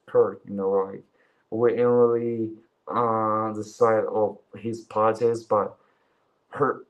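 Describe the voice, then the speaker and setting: A man's voice: on the low side, rough recording. A Chinese man in his twenties whose first language is Chinese, chatting loosely on a livestream, swearing and calling listeners bro. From China. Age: 20s. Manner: mocking impressions in a raised voice. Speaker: livestream commentator. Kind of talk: casual livestream chat.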